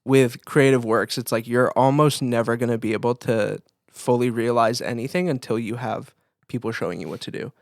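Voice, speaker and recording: A man's voice: medium pitch, fairly even intonation, normal speed, vocal fry, no room sound, studio quality. A man chatting casually as a podcast co-host, in an informal conversational style.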